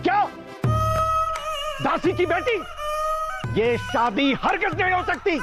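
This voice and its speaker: A pompous, disapproving father in a TV comedy, blustering formally. His high voice swings wildly in pitch, with loud music underneath. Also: very animated, slow, full character voice. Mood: indignant. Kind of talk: broad TV sitcom acting. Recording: loud music over the dialogue.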